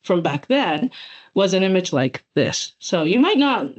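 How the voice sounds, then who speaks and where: A woman in her forties from Japan gives a webinar lecture, her pitch rising and falling with a lot of life. She talks in a chatty, loose way, full of fillers and restarts. The audio is dull and lo-fi, coming through a computer mic on a call. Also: a little slow. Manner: animated, chatty, frequent fillers and self-corrections. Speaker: guest speaker, associate professor. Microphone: computer mic on video call.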